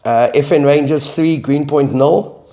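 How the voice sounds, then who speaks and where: A man with a South African accent, a football coach co-hosting a casual sports chat, his voice croaky from flu, reading results in a measured, steady way. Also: a little high. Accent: South African English.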